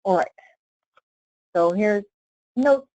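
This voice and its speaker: A woman with a medium-pitched voice walks learners through software in a live online class. She explains each step informally and often tags on a quick check-in question. The sound is dry and close, with little room around the voice. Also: animated, dry recording, muffled recording. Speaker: software trainer. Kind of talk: casual live screen-share tutorial.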